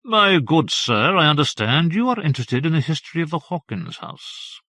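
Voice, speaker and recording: A man's voice: fairly high pitch, big pitch swings, moderate pace, sharp tone, no room sound, voice pushed up, full character voice. A man doing an eager gossiping old scholar. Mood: courteous. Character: chatty old male town scholar, fond of gossip.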